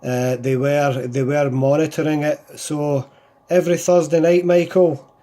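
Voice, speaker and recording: Scottish man, fairly high pitch, soft mellow tone, casual radio operator chat, repeated phrases and call signs. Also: flat delivery, measured pace. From Scotland.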